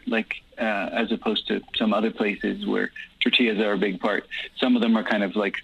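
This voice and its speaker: A man who grew up in Guatemala and whose first language is Dutch, speaking unaccented English in a low, gravelly voice with a sharp edge. He answers in a relaxed, thoughtful way and often pauses on "um". The sound is husky and dull, like an older radio broadcast. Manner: thoughtful, easygoing, lots of ums and uhs. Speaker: guest. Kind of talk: relaxed conversational interview answers.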